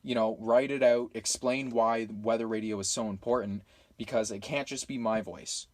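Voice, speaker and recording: A man's voice: medium pitch, fairly even intonation, moderate pace, no echo. A man with a Canadian accent making an impassioned, unscripted appeal, emphatic and exasperated, leaning on rhetorical questions. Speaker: weather radio video maker.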